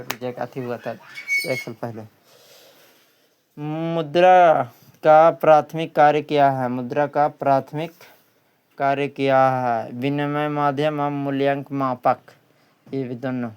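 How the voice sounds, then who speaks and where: Bihari man teaching, very clear voice. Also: medium pitch, steady tone, unhurried. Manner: repeats questions, didactic. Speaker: exam coaching teacher. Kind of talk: quiz lesson, reading questions aloud and answering them.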